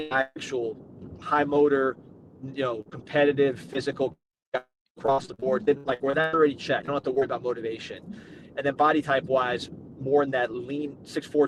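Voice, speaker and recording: Rambling male sports podcast host. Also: medium pitch. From Cuban heritage. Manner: rambling, self-interrupting, conversational. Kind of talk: casual sports podcast chat. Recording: noticeable background noise.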